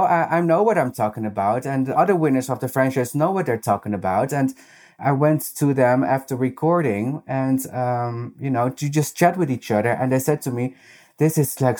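A man's voice: medium pitch, darkish tone. A man with a Dutch accent, talking casually as an interview guest about drag and filling his sentences with "you know". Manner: chatty, frequent "you know" fillers.

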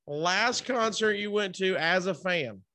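A man's voice: high voice, expressive, unhurried, pushed and bright. A man hosting a casual radio interview, chatting in an informal, jokey way.

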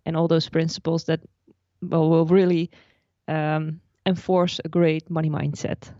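A woman's voice: sits low, little pitch movement, unhurried, dark tone, dry recording, muffled recording. A Dutch woman, a personal finance blogger, explaining her ideas at length as a guest in a podcast interview, with frequent restarts. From Netherlands.

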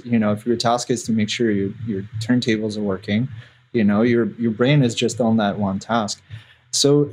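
Husky-voiced guy, russian's his first language, rambles easy on a podcast, lots of um and like. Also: medium pitch, darkish tone. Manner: rambling, self-deprecating, many fillers. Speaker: podcast guest, visual artist. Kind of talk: casual podcast chat.

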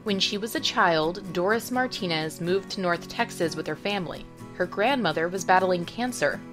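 A woman radio news host reading scripted narration in a measured, clear reporting delivery. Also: lowish voice. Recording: music bed under narration.

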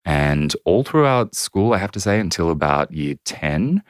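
An Australian man in his thirties, a podcast guest telling his story in a measured, candid way with frequent ums. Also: low-pitched, sing-song, clear, resonant tone, no room sound, clean studio sound. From New South Wales, Australia. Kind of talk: reflective personal storytelling.

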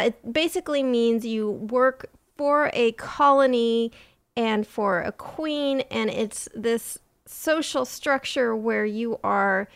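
A woman's voice: a little high, clear, resonant tone. A woman host explaining a science topic in casual, playful, jokey podcast chat.

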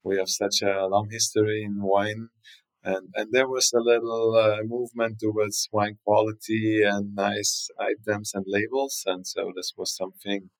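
A man's voice: lowish voice, level tone, a little slow, poor audio. A man from South Tyrol with a South Tyrolean German accent, speaking English as a second language in a podcast interview, hesitant and often repeating words as he searches for phrasing. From South Tyrol, northern Italy. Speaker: winery guest.

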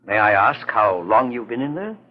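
Actor as a husky, expressive old-time radio detective. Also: male voice, mid-range voice, normal speed, natural voice. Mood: dry, questioning. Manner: courteous, dryly questioning. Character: kindly, shrewd older male private investigator. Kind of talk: 1940s radio detective drama acting. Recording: dull, muffled 1940s radio recording.

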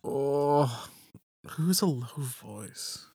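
A man's voice: mid-range voice, lively intonation, very slow, gravelly, a little mellow, breathy edge, no echo. A man co-hosting a casual music podcast. He speaks hesitantly and fills his talk with "uh" and "like". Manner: hesitant, lots of fillers like "uh" and "like". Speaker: podcast co-host.

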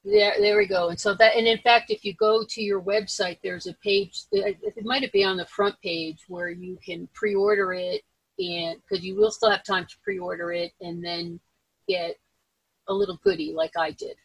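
A woman hosting a casual podcast, talking in a halting, rambling way and correcting herself as she goes. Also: medium pitch, very animated, gravelly, husky, no room sound, lo-fi sound. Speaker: podcast host.

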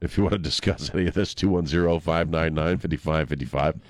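Deep-voiced congested radio host. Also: male voice, fairly quick, no echo. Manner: wry, jokey, drawls "man". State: congested with a chest cold. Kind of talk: morning talk radio banter. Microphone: studio microphone.

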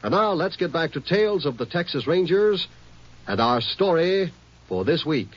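A man's voice: fairly high pitch, big pitch swings, normal speed. A man reading a scripted public safety announcement on 1950s radio in an earnest, emphatic way. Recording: muffled old 1950s radio recording.

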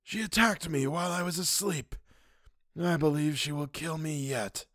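A man's voice: fairly high pitch, normal speed, fairly bright tone, dry recording, studio quality, voice pushed up. A man voicing a sinister, crippled old blackmailer in a thin, raised, cold voice. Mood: sinister calm.

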